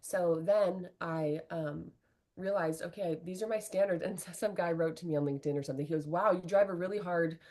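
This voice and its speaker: A woman's voice: lowish voice, fairly quick. A middle-aged woman, a relationship coach, speaking earnestly and candidly in an interview and often tagging her points with "right?". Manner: earnest, animated, fillers like "right?".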